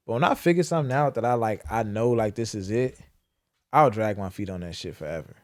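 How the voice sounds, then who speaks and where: A man's voice: lowish voice, expressive, fairly quick, no echo, clean studio sound. A young adult man, hosting a podcast and talking candidly about his fears in casual, profane speech. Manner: candid, profane, reflective storytelling. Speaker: podcast host. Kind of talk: casual chat.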